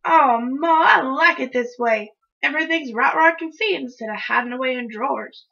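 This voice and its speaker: A woman's voice: high voice, animated, measured pace, a bit bright, muffled recording, heavily acted. A woman voicing a spirited, stubborn young country girl who grumbles and complains in a children's storybook. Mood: whiny protest. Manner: folksy, dramatic complaining. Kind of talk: children's storybook read-aloud.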